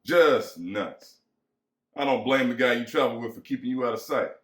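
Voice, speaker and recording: A man's voice: medium pitch, normal speed, natural voice. A man acting as bitter, lonely American ranch hand, echoey. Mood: mocking. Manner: folksy rural vernacular, musing and pressing. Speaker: actor. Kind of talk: dramatic reading of a novel. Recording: echoey room.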